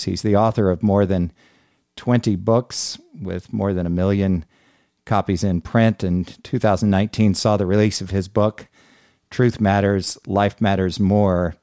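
A man's voice: lowish voice, gravelly, mellow, no room sound, clean studio sound. A middle-aged man hosting an interview podcast. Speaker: podcast host.

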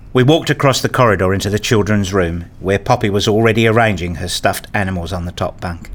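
A man playing a weary, hurt father who narrates his own story in a radio play. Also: deep voice. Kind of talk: first-person radio play narration and acting.

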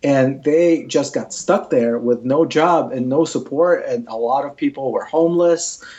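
A Russian-speaking man from Ukraine, heard as a guest recounting his past in a sincere, thoughtful manner. His voice sits at an ordinary pitch for a man. The recording is slightly dull and lo-fi. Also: measured pace, a little mellow. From Ukraine, former Soviet Union. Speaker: interview guest. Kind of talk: personal storytelling in conversation.

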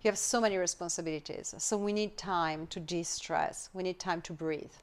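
A woman's voice: lowish voice, steady tone, a crowd in the background. A woman hosting an interview, an entrepreneur and mother who talks emphatically, repeating her points. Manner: emphatic, repeats phrases, speeds up and slows down. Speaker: podcast host. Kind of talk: interview chat.

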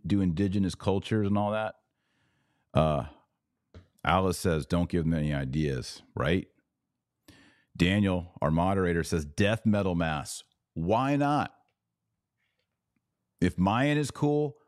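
A man's voice: low voice, darkish tone, dry recording, studio quality. A man hosting a podcast. He reads out viewer comments, riffs on them with sarcasm, and turns preachy when he makes his point. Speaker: podcast host.

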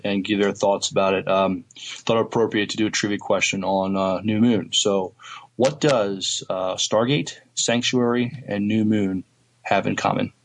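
Co-host role: male, slightly deep voice, conversational, somewhat halting in his phrasing. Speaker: podcast co-host. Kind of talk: casual chat.

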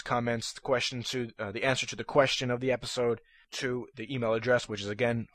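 A young man hosting a casual solo podcast, talking in a monotonous, rambling way full of fillers and restarts, his voice a little hoarse. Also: medium pitch, no room sound. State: slightly hoarse. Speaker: podcast host. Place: room at his computer.

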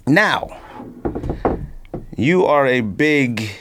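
A man's voice: mid-range voice, lively intonation, drawn-out words, noisy background. Casual, slangy man hosting a podcast. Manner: informal, slangy. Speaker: podcast host. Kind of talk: casual sneaker podcast chat, unboxing.

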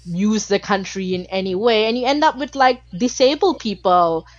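A woman from Malaysia speaks as an interview guest, with a passionate, filler-heavy rush of words. Her voice sits at a middle pitch. The recording is dry and a little dull. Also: no room sound. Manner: passionate, talks fast with many "like" fillers. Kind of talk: casual conversational interview.

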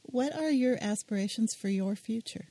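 A woman hosting a podcast, reading a scripted welcome and asking gentle, soft-spoken questions. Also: fairly high pitch, moderate pace, dry recording. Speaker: podcast host. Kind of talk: scripted intro and interview questions.

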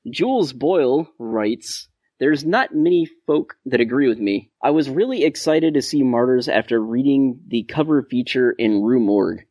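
A man's voice: medium pitch, expressive, moderate pace, darkish tone, dry recording. The guy at the mic of a hobby podcast in a deadened little booth, casually working through the listener mail. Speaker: podcast host. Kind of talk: casual group discussion, reading out listener feedback.

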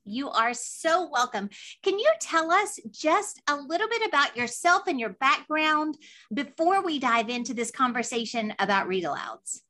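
A woman hosts an interview podcast in a high-pitched voice with a bright, forward edge. She is chatty and emphatic, stacking phrases as she goes. The style is informal and conversational. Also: lively intonation, normal speed. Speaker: podcast host.

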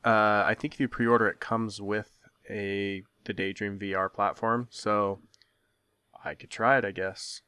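A man's voice: fairly low pitch, flat delivery, unhurried, pushed and bright, clear, resonant tone, dry recording. A man co-hosting a casual podcast, musing in an easygoing way. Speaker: podcast co-host. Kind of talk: casual chat.

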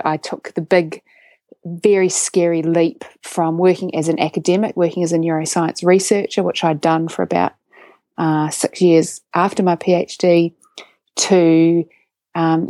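A woman's voice: on the low side, a little mellow, dry recording. A woman in her forties with a New Zealand accent, a guest chatting eagerly in an interview with many ums and restarts. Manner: enthusiastic, with frequent restarts and ums. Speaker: guest, neuroscientist and science communicator. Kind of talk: conversational interview.

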